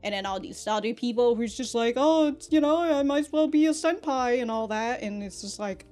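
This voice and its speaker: A woman's voice: fairly high pitch, a little fast, a little mellow, faint music behind. A woman giving a casual episode review as a reaction host, in slangy, sweary talk with mock indignation and frequent laughter.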